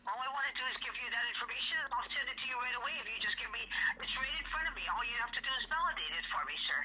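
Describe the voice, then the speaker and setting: A woman's voice: on the high side, lively intonation, rapid-fire. A woman working as a customer service agent on a phone call, apologetic but insistent. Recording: noisy telephone line.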